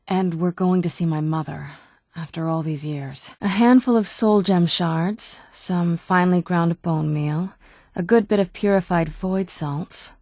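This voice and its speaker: An actress playing a guarded, wistful young-sounding vampire woman in a video game, speaking in a measured, conversational way with wry understatement. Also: on the low side, mellow, dry recording, studio quality. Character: female vampire daughter, centuries old yet youthful, wry, guarded, wistful. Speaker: video game companion character. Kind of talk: video game dialogue acting.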